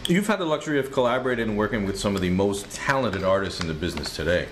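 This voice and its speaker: A male music interviewer who talks quickly, with an ordinary mid-range voice. He asks eager, leading questions and keeps nodding along with little affirmations. Faint ambience hums behind. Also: fairly low pitch, lively intonation, noisy background. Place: backstage at a concert venue.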